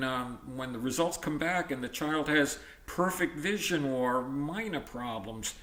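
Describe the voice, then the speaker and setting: A man's voice: medium pitch, a bit bright, reverberant. A man reading a textbook aloud in an explanatory, teacherly manner, breaking off for informal asides and check-ins. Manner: explanatory, teacherly, checks in with "okay" and "all right". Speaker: audiobook reader adding his own explanations.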